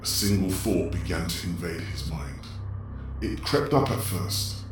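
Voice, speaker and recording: A man's voice: deep voice, unhurried. A man giving a dramatic, scripted narration of a horror story. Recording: echoey, distant, under prominent music. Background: prominent music.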